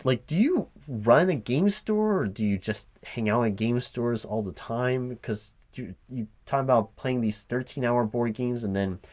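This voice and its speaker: A man playing a polite, flustered hiring manager in an improvised interview roleplay, speaking aloud in a halting, rambling, stammering way. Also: medium pitch, expressive, darkish tone. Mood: baffled, curious. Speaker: recruiting manager in a one-sided roleplay.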